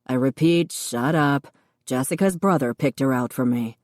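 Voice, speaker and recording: A woman voicing a gruff young brother with a deep, dry, deadpan delivery. The humor stays understated, and the sound is close with no room. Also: normal speed, no room sound.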